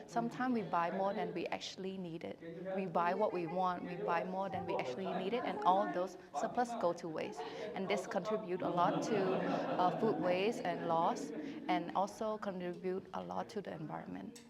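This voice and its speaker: A woman's voice: fairly low pitch, fairly even intonation, moderate pace, gravelly. A woman with a Cambodian accent giving an informal presentation as a workshop participant. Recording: loud crowd chatter behind.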